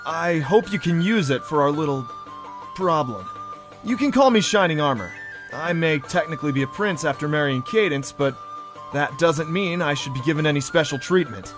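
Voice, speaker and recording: A man's voice: a little high, lively intonation, moderate pace, over music, natural voice. A man acting a friendly, modest young pony prince in an audio drama, with an easygoing, earnest manner.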